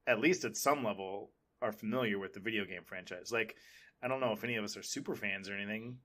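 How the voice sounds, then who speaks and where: A man's voice: fairly low pitch, fast talker, a bit bright. A man hosting a podcast in a casual, informal, conversational way. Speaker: podcast host. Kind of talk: casual chat.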